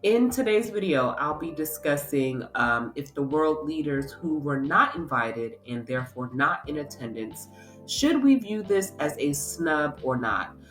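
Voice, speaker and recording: A woman's voice: low-pitched, very animated, measured pace, fairly bright tone, echoey room, faint music behind. A woman with a cold and a congested voice, hosting a commentary vlog with casual, um-filled talk. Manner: chatty, with frequent ums. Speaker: vlog host.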